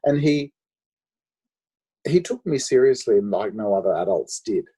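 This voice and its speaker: A man from Australia answering interview questions about his life and work, thoughtful and full of pauses and ums. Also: fairly low pitch, expressive, dark tone, breathy edge. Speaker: guest architect.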